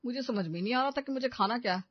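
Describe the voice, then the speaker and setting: A woman's voice: on the high side, rapid-fire, no echo, dull audio, lightly acted. A woman voicing an exasperated young husband in a cartoon family comedy, scolding and complaining. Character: grumbling, exasperated young husband and elder brother.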